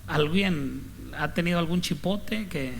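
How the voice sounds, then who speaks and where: Casting: male pastor, northern Mexican accent, fairly high voice with a creaky edge, clipped, story-driven preaching. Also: unhurried, background noise. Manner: punchy, clipped delivery. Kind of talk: conversational sermon with anecdotes.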